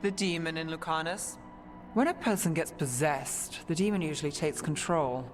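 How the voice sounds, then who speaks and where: An actress playing a practical, level-headed, guarded investigator in a fantasy game. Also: female voice, low voice, moderate pace, in a large hall, over music, close to an everyday voice. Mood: measured, doubtful.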